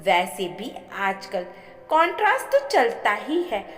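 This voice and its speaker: महिला की निभाई ऊँचे सुर वाली विनम्र युवा ननद. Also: female voice, a little high, huge pitch swings, slow, a bit bright, heavily acted. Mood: reassuring. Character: considerate, slightly awkward young married sister-in-law. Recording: echoey with long reverb.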